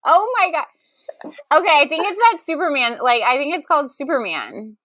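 A woman's voice: sits high, sing-song, fairly quick, sharp tone, no room sound, rough recording. A woman co-hosting a comedy podcast in casual banter, exclamatory and incredulous, often repeating her words. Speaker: podcast co-host guessing song titles.

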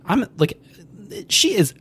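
A man co-hosting a podcast, chatting casually about a movie with relaxed hesitations, chuckles and the odd swear word. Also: slow pace. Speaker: podcast co-host.

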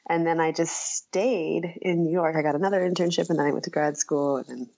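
A woman's voice: sits low, steady tone, fairly quick, vocal fry, warm tone, muffled recording. An American woman being interviewed as a writer, talking casually and hesitantly, repeating words as she thinks. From Iowa, United States. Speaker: interview guest, writer and journalist.

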